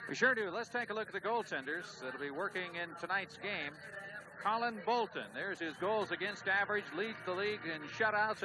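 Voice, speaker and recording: A man giving color commentary on a 1970s televised soccer match, talking in a relaxed, conversational way. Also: high voice, background noise. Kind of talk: 1970s TV sports broadcast.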